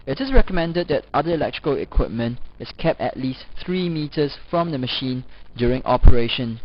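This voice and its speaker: Male lecturer for scripted teaching narration. Measured, formal read-aloud delivery, medium pitch, slightly dull lo-fi sound. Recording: dull lo-fi voice-over recording.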